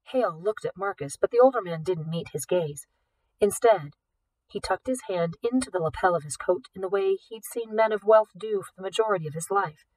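A woman reading a novel aloud as an audiobook, in expressive scripted narration. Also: mid-range voice, brisk, no room sound, far from the mic, low-quality recording. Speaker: audiobook reader.

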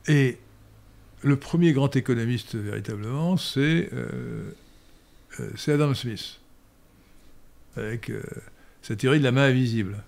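An older man answering listener questions in a professorial, hesitant manner with frequent pauses and restarts, recorded on a stand mic in a study. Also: medium pitch, gravelly, a little mellow. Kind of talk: lecturing Q&A answers. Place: study room indoors. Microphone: studio mic on stand.

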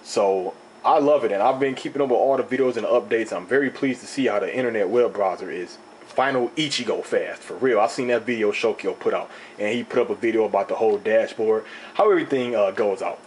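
A young man with a slightly low, slightly raspy voice tells a rambling, animated story to his viewers. He talks into a camera mic in an indoor room, with a faint noise behind him. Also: fairly quick, low-quality recording. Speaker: video host. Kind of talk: casual unboxing talk. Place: indoor room, table top.

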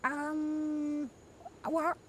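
A man's voice: very high voice, completely flat delivery, extremely slow, fairly bright tone, a little hiss or hum, exaggerated voice. A man with a British accent putting on a cheeky, childish little boy voice for a comedy prank call. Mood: cheeky, innocent. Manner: cheeky, giggly, playful. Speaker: prank caller. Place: outdoors on stone steps.

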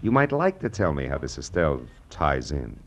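A man's voice: rumbling low, big pitch swings, moderate pace, heavily acted. An actor playing a tough, sardonic male private detective in a 1950s radio drama, with clipped wisecracks. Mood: wry. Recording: muffled, noisy vintage radio recording.

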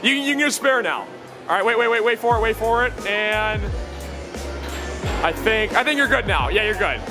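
Young Midwestern male vlogger, high voice, excitable play-by-play; handheld camera in a noisy arcade with loud music. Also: moderate pace. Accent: Midwestern American. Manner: excitable, cheering on friends. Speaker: vlogger host. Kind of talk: casual arcade vlog commentary. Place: indoor arcade bowling alley.

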